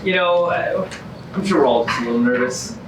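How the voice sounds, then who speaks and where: Actor as gentle reassuring male contestant, lively intonation, echoey distant stage. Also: mid-range voice, a little fast, noisy background, close to an everyday voice. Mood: kindly, amused. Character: friendly, reassuring, kindly reality show contestant. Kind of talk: staged musical comedy reading.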